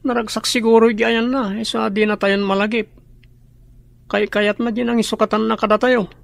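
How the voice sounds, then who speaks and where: Creaky-voiced, bitter young boy. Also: a little high, little pitch movement, normal speed, dry recording. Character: older brother, a resentful boy, bitter about mother. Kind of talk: radio drama acting.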